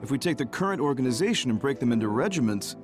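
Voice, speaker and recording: A friendly, considerate game officer, acted by a man, with a very animated mid-range voice. He teases gently as he talks. Music is clearly audible behind him. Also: medium pitch, very fast, lightly acted. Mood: amused. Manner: gently teasing. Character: friendly, teasing, considerate male military officer. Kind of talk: video game voice acting.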